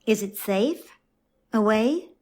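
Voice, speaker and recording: A woman playing a brisk, independent, prickly guest in an audio drama, with a dry, clipped manner. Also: medium pitch, animated, darkish tone. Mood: polite probing.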